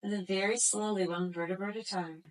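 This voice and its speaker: A woman guiding a floor exercise class as instructor, giving slow, gentle, soothing cues. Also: medium pitch, fairly even intonation, low-quality recording. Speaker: yoga instructor.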